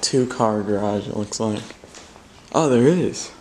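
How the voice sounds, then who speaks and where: A man's voice: lowish voice, unhurried. A young man filming an urban exploration vlog, chatting as he guesses what each bare room was, sometimes dropping his voice when nervous. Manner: chatty, guessing aloud at rooms, drops to hushed tones when nervous. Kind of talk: casual exploring commentary. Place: empty abandoned house, hard bare rooms. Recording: echoey empty rooms, loud noise.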